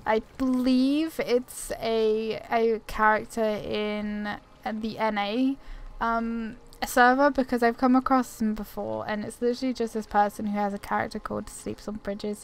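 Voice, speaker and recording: Woman game commentator, easygoing rambling chat, leisurely pace, higher register. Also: fairly even intonation, measured pace, smooth. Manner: easygoing, rambling, thinking aloud. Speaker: video game player and commentator. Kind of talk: casual gameplay commentary.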